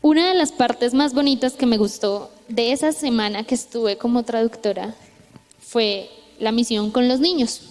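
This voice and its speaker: A woman's voice: fairly high pitch, normal speed. A young Colombian woman with a Colombian accent, preaching a chatty youth sermon with stories, often checking in with tag questions. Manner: chatty, frequent tag questions, lively retelling. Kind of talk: conversational sermon with storytelling. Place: church hall. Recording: echoey church hall.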